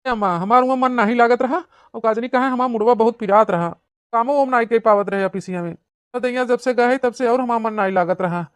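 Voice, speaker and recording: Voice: mid-range voice, brisk, clear, resonant tone, dry recording, studio quality, lightly acted. An actor playing a lovesick, pleading young village brother-in-law in a comedy cartoon, gushing and wheedling in an Awadhi accent. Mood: plaintive. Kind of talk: cartoon comedy acting in rural dialect.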